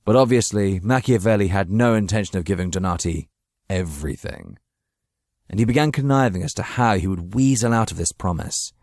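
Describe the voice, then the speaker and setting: Low raspy guy reading a dramatic audiobook, really dry close sound. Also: lively intonation, brisk, darkish tone, no room sound. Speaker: audiobook narrator. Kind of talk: scripted dramatic story narration.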